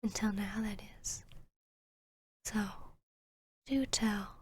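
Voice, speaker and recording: A woman voicing an arrogant, seductive vampiress hypnotist in a whispered ASMR roleplay, with a breathy, smug, teasing delivery. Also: mid-range voice, no room sound. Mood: probing, smug. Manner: slow, smug, teasing, deliberate.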